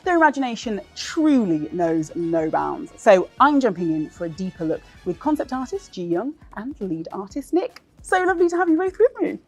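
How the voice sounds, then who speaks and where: Enthusiastic woman presenter with a husky voice. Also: mid-range voice, very animated, normal speed, few pauses, darkish tone, soft background music. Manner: enthusiastic, gushing. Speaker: presenter and interviewer. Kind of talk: upbeat scripted links and interview chat.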